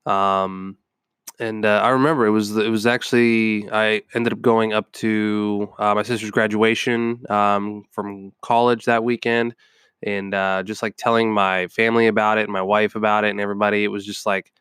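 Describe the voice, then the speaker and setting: Stuffed-up man, very clear dry voice. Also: lowish voice, flat delivery, normal speed, fairly bright tone, no echo. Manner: rambling, many ums and restarts. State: stuffed up from a cold. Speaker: podcast host. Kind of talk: casual personal storytelling monologue.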